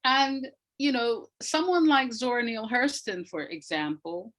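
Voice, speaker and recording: An older woman speaking reflectively as an interview guest, in a measured, deliberate way with emphasis on key words. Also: fairly high pitch, fairly bright tone. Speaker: guest, playwright and retired academic.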